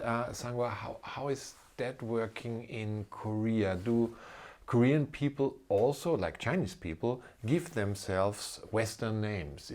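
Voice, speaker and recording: A man hosting a podcast conversationally, a little halting and sometimes repeating his words. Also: on the low side, a little mellow. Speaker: podcast host, corporate lawyer.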